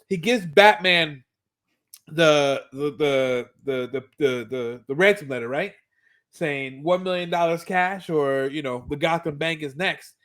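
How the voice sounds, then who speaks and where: High-voiced man chatting on a fan podcast. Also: a bit bright. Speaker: co-host of a fan rewatch podcast. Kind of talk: casual chat, recapping and commenting on a cartoon.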